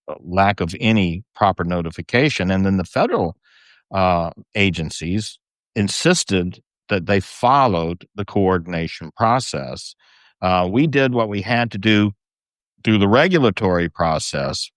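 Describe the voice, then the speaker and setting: Deep-voiced man, dry sound. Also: dry recording. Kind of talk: casual conversational interview.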